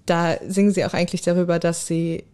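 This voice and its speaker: A woman's voice: lowish voice, flat delivery, fast, dark tone, clean tone. A woman chatting casually as a podcast guest in a living room. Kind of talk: casual chat.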